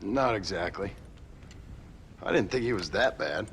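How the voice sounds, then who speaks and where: An actor playing a weary, sardonic middle-aged American cowboy in a video game, plain-spoken and dry. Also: male voice, sits low, animated, a little slow. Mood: dry, wary. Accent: American Western. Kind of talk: video game cutscene acting. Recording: faint background noise and ambience.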